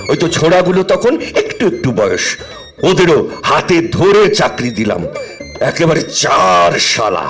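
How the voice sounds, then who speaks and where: A man playing a grumbling, teasing middle-aged husband who fancies himself a poet, with a Bengali accent and a theatrical, bantering delivery in an audio drama. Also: fairly high pitch. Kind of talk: audio drama acting, domestic comedy. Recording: music under the voice.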